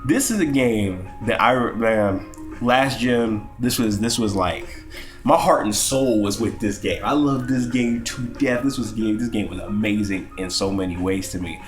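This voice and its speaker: A man's voice: fairly low pitch, measured pace, faint music behind. A young man with a cold, sniffling as he gives a casual, rambling, profane game review with lots of stammered restarts. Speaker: gaming YouTuber.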